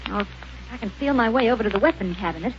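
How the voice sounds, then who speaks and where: Brief: an actress as a brisk young space heroine in an old radio serial, slightly high voice, hiss on a dull recording. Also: female voice, animated, lightly acted. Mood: tense. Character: plucky young woman space adventurer. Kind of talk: 1930s radio acting.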